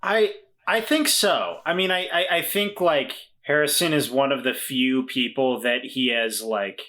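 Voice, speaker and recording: A man chatting casually as a player in a tabletop game podcast, feeling his way through ideas out loud. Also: mid-range voice, a little slow. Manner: hesitant, thinking aloud. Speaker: player in an actual-play podcast.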